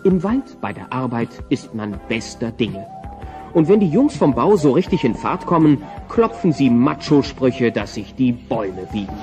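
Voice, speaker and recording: A man's voice: mid-range voice, sing-song, normal speed, recorded from a distance, over music, close to an everyday voice. A man acting as the wry storyteller of a comedy audio play, reading scripted narration.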